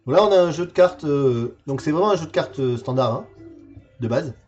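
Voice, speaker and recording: Man in his forties, casual stream host, hesitant, repeats words, dull lo-fi sound, soft background music. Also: on the high side, expressive, fairly quick. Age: 40s. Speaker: streamer presenting a game collection. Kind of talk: casual livestream chat.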